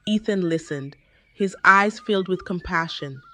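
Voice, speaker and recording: A woman narrating a scripted folktale in a measured, dramatic storytelling manner. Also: fairly low pitch, sharp tone.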